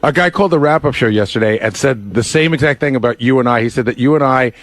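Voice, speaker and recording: A man's voice: mid-range voice, fast. A man on a radio show, recounting things in casual chat.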